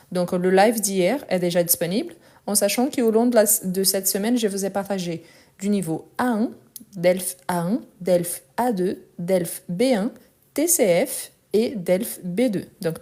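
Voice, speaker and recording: A woman teaching French in a live online lesson, speaking slowly and clearly and repeating phrases for learners. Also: medium pitch, warm tone. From France and Brazil.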